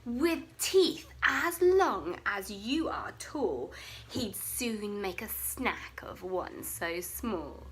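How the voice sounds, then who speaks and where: A woman voicing a protective dinosaur mother who gives a slow, hushed warning in a storybook. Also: medium pitch, sing-song. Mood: ominous.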